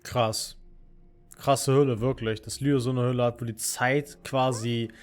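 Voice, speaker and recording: A man's voice: mid-range voice, fairly even intonation, a little slow. German man casually streaming a game. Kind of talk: casual live gameplay commentary. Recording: game music behind the voice. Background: game music.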